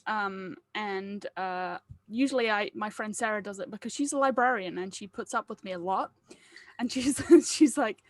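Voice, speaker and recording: A woman's voice: a little high, a bit bright, dry recording. A woman leading a script read, chatting casually in a jokey, self-deprecating way. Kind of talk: casual chat among a cast.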